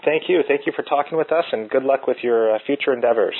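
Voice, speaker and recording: A man's voice: medium pitch, quick pace, phone-quality sound. Male interviewer with a phone-thin sound. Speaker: radio interviewer. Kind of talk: radio interview.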